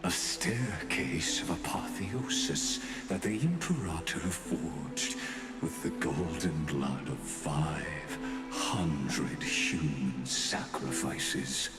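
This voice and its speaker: An echoing, cavernous-low voice plays an ancient, grandiose game scholar. He delivers solemn, portentous monologues with deliberate patience. Loud music swells behind him. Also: exaggerated voice. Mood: commanding, grave. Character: ancient imprisoned male scholar, grandiose, ominous, patient philosopher. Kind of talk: theatrical scripted game monologue.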